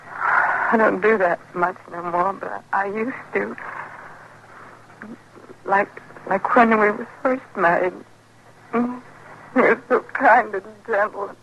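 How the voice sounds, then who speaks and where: An actress playing a worn-down, frightened frontier wife, halting and rustic, with an American accent in 1950s radio western style. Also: female voice, mid-range voice, a bit bright. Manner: halting, stammering, rustic speech. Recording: noisy old radio drama recording.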